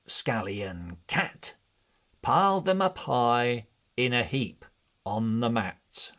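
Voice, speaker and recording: A man narrating a children's read-along storybook in an expressive, deliberate way. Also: medium pitch. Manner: expressive, deliberate, measured delivery.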